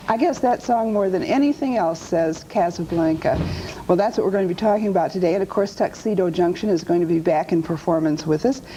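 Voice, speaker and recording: A woman's voice: on the low side, mellow, a little hiss or hum, poor audio. A woman hosting a talk show, introducing the topic and guests in a friendly, conversational way with small restarts.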